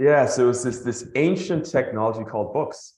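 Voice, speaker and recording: A man from Nigeria and the Bahamas, a podcast guest telling his story in an animated way, laughing as he goes. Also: mid-range voice, moderate pace, reverberant. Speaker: guest, company founder. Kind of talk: podcast interview.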